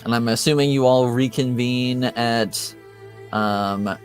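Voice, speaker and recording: Male game master, unhurried, easygoing narration over faint music. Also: medium pitch. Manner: relaxed, laid-back narration with fillers.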